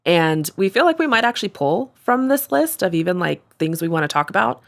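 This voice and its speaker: Woman, 30s, podcast co-host, low register, loose filler-heavy banter. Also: big pitch swings, a little fast, gravelly. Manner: chatty, lots of likes and ums. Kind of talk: casual chat.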